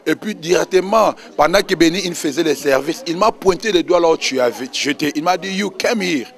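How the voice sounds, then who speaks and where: A man's voice: on the high side, lively intonation, a little fast. A man from the Congo with a Congolese accent, an apostle giving an emphatic personal testimony in a religious interview. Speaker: apostle, church minister.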